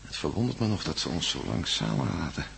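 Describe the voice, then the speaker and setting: An actor playing a steady, encouraging male prisoner in a radio drama, measured and reassuring. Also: low-pitched, creaky, slight background noise, full character voice. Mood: calm, scheming.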